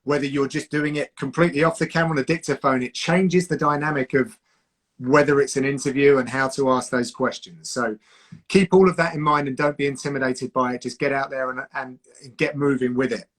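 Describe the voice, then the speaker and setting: A man's voice: medium pitch, fairly even intonation, brisk, fairly bright tone. A man with a southern English accent hosting a casual live stream, giving reflective advice on broadcasting and often restarting his sentences. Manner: reflective, coaching, with frequent restarts. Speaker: host, combat sports commentator.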